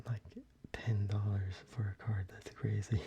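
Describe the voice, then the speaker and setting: An ASMR creator whispering softly and closely, with frequent ums and restarts while showing their collection. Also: deep voice, little pitch movement, unhurried, creaky, a little hiss or hum.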